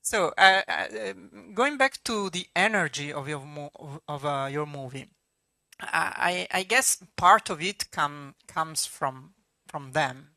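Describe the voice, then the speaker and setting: Slow, bright Italian-accented interviewer. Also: medium pitch, expressive, dry recording, clean studio sound. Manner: halting, searching for words in a second language. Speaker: cinema host interviewer. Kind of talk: interview questions.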